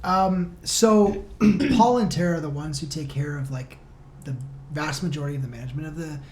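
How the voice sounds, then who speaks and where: A man's voice: a little high, moderate pace, darkish tone. Earnest man chatting, faint noise behind. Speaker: band member, interview guest. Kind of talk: casual chat.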